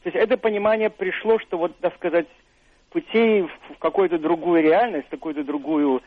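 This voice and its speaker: A man's voice: fairly high pitch, brisk. An older man, an author and university professor, answering interview questions on the radio in a thoughtful, hesitant way. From Russia. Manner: thoughtful, hesitant, many fillers and restarts. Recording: narrow phone-like radio sound, dry.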